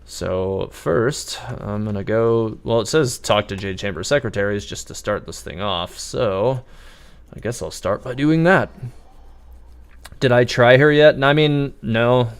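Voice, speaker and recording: Chatty man streaming a game, medium pitch. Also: a little slow, smooth. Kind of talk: casual live stream commentary.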